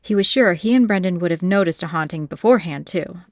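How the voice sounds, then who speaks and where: A woman's voice: fairly low pitch, quick pace, dry recording, clean studio sound. A woman reading scripted fantasy audiobook narration as the storyteller.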